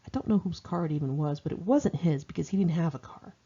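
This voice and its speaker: A woman's voice: sits low, expressive, fast, dark tone, breathy edge, lo-fi sound. An American woman reads her own memoir in a conversational, candid, wry and slangy way. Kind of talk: conversational first-person memoir narration.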